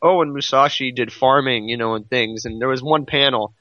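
Bright, forceful man on a slightly lo-fi recording. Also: mid-range voice, brisk, no echo. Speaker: podcast co-host. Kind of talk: casual chat about a comic series.

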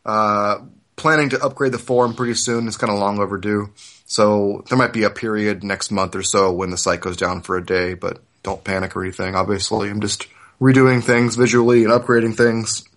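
A man's voice: on the low side, fairly even intonation, brisk, a bit bright. A man in his thirties hosting a casual podcast, explaining things in an informal way. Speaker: podcast host.

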